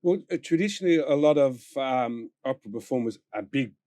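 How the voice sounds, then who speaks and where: A man's voice: mid-range voice, expressive, fairly quick, warm tone, dry recording. A man interviewing a guest, asking rambling questions full of fillers and laughing along.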